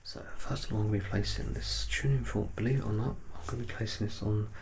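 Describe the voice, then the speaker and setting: A soft-spoken man doing an ASMR medical exam roleplay, at times near a whisper, giving gentle, reassuring instructions step by step. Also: fairly low pitch, fairly even intonation, fast talker, slight background noise. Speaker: ASMR performer playing a medical examiner.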